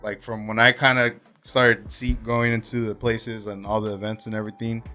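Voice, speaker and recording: A man's voice: lowish voice, level tone, normal speed, pushed and bright, soft background music. A man chatting casually and slangily on a podcast, rambling through his thoughts. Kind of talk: casual chat.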